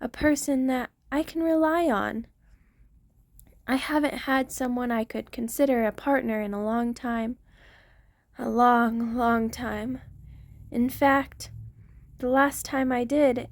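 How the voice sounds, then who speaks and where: A woman playing a centuries-old vampire queen, tender, protective and remorseful, speaking softly and formally in an intimate ASMR roleplay. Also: on the high side, steady tone, takes it slowly. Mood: protective, devoted, then wistful. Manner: soft, formal, confessional, hesitant phrasing.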